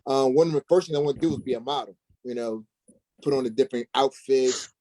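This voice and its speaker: A man's voice: medium pitch, fast, mellow, low-quality recording. A middle-aged man being interviewed as an actor, telling personal stories in an informal, slangy way. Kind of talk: casual personal storytelling.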